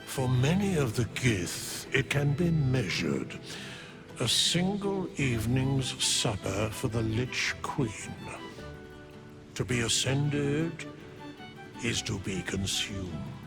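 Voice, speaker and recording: Game sage: undead, all-knowing, grave, creaky voice with expressive swings, music behind. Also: male voice, medium pitch, full character voice. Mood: solemn. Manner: grave, archaic, measured pronouncements. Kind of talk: video game character acting.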